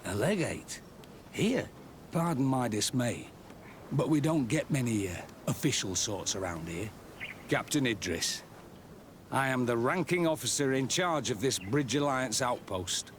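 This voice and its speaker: An actor playing a weary, embittered military captain in a video game cutscene, with a bitter, self-deprecating manner. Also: male voice, mid-range voice, expressive, a little slow, a little hiss or hum, natural voice. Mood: wry, surprised.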